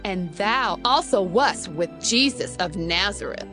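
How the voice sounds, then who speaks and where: A woman's voice: medium pitch, expressive, unhurried, music in the background, natural voice. A woman playing a suspicious servant maid in a dramatized scripture reading, pointed and accusing.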